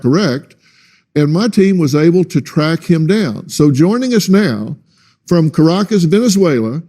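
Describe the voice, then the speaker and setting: Deliberate probing talk show host. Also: male voice, on the high side, dark tone. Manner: deliberate, probing questions with hesitant restarts. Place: tv studio.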